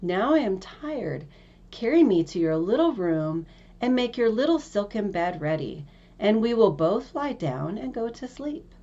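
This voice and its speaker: Lady doing a small talking frog for a fairy tale, pushy and pleading, pitch jumps all over. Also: big pitch swings, a little slow, warm tone, highs cut off. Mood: bargaining.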